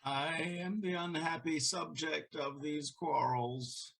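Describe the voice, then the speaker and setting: Slow, grave elderly merchant played by a man. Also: a little high, steady tone, vocal fry, fairly bright tone, heavily acted. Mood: grave, earnest. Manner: measured, solemn. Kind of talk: Shakespearean audio-play acting.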